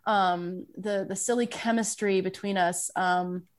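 A woman's voice: medium pitch, steady tone, a little slow. A woman chatting playfully in a casual podcast conversation, joking and restarting her sentences. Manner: playful, jokey, lots of restarts and fillers. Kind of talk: casual chat.

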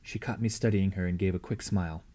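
A man's voice: low voice, fairly even intonation, fast talker, mellow. A man reading a story aloud as scripted narration. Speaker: audiobook reader.

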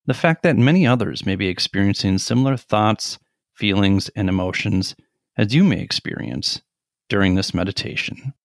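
A middle-aged man leading a guided meditation in a gentle, deliberate manner with long pauses. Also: on the low side, creaky, no echo, clean studio sound.

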